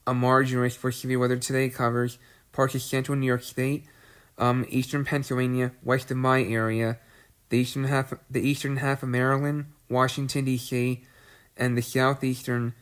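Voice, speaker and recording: Brief: male weather host with a cold, stuffy and congested, mid-pitched, monotone, frequent throat clearing. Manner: clears throat often, restarts phrases; reads long lists of places in a level voice.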